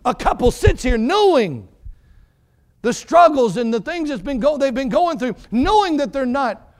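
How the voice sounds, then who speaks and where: A man in his forties preaching a fervent sermon as pastor, building on repeated phrases and swinging between quiet and loud passages. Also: very high-pitched, sing-song, normal speed. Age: 40s.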